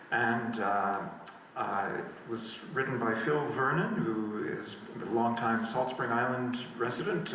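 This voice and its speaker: A man's voice: mid-range voice, a bit bright, a little hiss or hum. A man giving a casual spoken introduction as a musician about to perform a song. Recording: very echoey room, distant mic, music.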